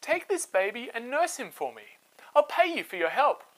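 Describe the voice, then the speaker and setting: Egyptian princess for a storybook, played by a man: high, lilting, genteel, gracious, curious. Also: big pitch swings, moderate pace, poor audio. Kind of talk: storytelling for children.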